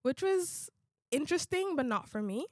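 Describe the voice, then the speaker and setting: A young woman in her twenties, an international student born in Uganda, chatting casually in a relaxed way, often repeating her own phrases. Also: high voice, moderate pace, no echo, studio quality. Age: 20s. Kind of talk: casual conversation.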